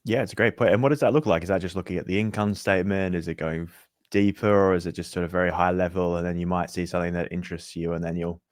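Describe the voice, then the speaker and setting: A man's voice: low voice, steady tone, quick pace, dry recording, clean studio sound. A man interviewing a guest conversationally, feeling his way into questions and rephrasing them as he goes. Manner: tentative, hedging, rephrases questions.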